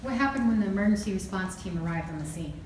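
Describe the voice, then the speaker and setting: A woman's voice: medium pitch, lively intonation, quick pace, a little mellow, natural voice. A woman acting a formal, probing prosecutor in an amateur courtroom drama. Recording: very echoey room, distant, faint noise.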